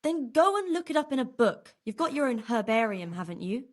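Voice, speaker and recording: A woman's voice: on the high side, big pitch swings, a little fast. A woman playing a weary, stern and devoted medieval nurse in a video game, blunt in manner. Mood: exasperated. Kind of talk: video game cutscene dialogue.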